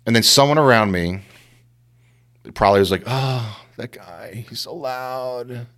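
A man from Queens, telling a casual, animated story as a guest and acting out the voices of the people in it. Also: mid-range voice, a little slow. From Queens, New York. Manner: animated, acts out voices, lots of "you know".

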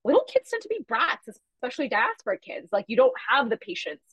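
A woman's voice: high-pitched, a crowd in the background, rough recording. A woman guest in a casual podcast interview, talking animatedly and emphatically about her company and upbringing. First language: Chhattisgarhi. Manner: fast, animated, emphatic storytelling. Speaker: guest, media company founder.

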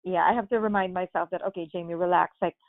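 Filipina guest, middle-aged, animated and chatty, phone-quality sound. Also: female voice, fairly low pitch, fast, no echo. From Philippines. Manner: animated storyteller who acts out quotes, many "like"s. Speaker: podcast guest. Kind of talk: casual chat.

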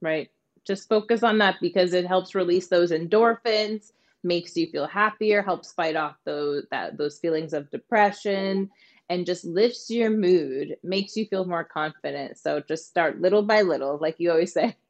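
A woman's voice: lowish voice, measured pace, highs cut off. A woman hosting an interview, asking questions in an upbeat, encouraging manner. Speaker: interviewer, host. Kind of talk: casual interview.

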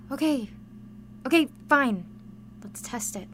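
Anxious, sardonic high-voiced young woman. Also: expressive, takes it slowly, fairly bright tone, a little hiss or hum, full character voice. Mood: reluctant, sarcastic. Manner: guarded, sardonic, informal. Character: anxious, sarcastic, stubborn young woman with superpowers. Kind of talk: modern audio drama acting.

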